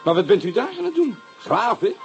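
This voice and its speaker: A naive young police assistant in a radio play. His pitch is rather high and swings very widely. He is eager and deferential, stumbling over words, with faint music behind. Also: sing-song, fairly quick, highs cut off. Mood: eager, wondering. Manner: hesitant, stammering, deferential. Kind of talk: Dutch radio drama acting.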